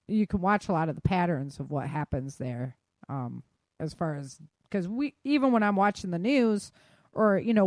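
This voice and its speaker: A woman's voice: fairly low pitch, a little mellow, no echo. An American woman hosting a podcast in an informal, chatty, self-deprecating way, talking through the news unscripted. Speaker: podcast host. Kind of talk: casual unscripted chat about news.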